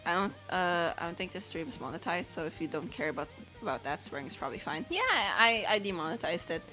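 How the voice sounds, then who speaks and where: A woman with a slightly low voice talks casually to her livestream chat. She rambles in loose, chatty phrases as she works on animation, with faint music playing behind her. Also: big pitch swings, quick pace, pushed and bright, poor audio. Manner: rambling, chatty, reads chat questions aloud.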